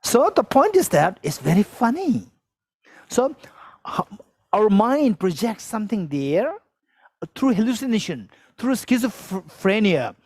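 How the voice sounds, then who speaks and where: A man's voice: high voice, darkish tone, no echo, studio quality. Chuckling man teaching Buddhist philosophy. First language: Tibetan. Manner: repetitive, questions the audience, chuckles while explaining. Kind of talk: dharma teaching to a live audience. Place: teaching hall with a live audience.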